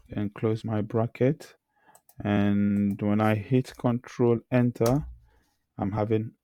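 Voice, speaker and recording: Hoarse guy with a low dark voice, flat delivery, walking thru code as he types, keys clicking. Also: dry recording. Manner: talks through typing code, clears throat often. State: hoarse, losing his voice. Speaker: programming tutor. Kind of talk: screen-recorded coding tutorial.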